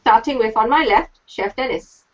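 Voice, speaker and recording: Bright-voiced woman moderating a panel. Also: fairly high pitch, dull audio. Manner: brisk, cheerful moderating. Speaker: host. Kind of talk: hosting a live video panel.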